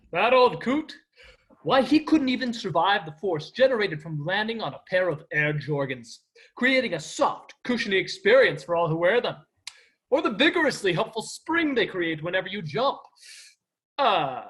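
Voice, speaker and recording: A man playing a cocky superhero prince in a stage play, boastful and showy. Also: high-pitched. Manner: boastful, lively, salesy.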